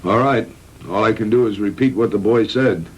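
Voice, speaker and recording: A man playing a calm, frank middle-aged hospital doctor in a measured, matter-of-fact 1960s B-movie style. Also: on the low side, slightly rough. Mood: grave, recounting. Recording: noisy old 1960s film soundtrack.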